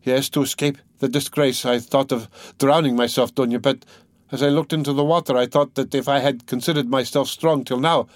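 A man voicing a tormented, exhausted young man, proud and bitter, with a brooding, halting intensity, in an audiobook. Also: mid-range voice, darkish tone, no echo, close to an everyday voice. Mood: grim resolve.

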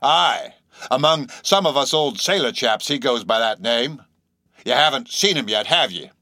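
A man's voice: mid-range voice, expressive, moderate pace, bright, forceful tone, no echo, clean studio sound, voice pushed up. A man voicing a shabby, insanely earnest old sailor in an audiobook, speaking abruptly with nervous emphasis and derisive, cryptic questions. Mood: urgent, earnest. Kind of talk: audiobook character acting.

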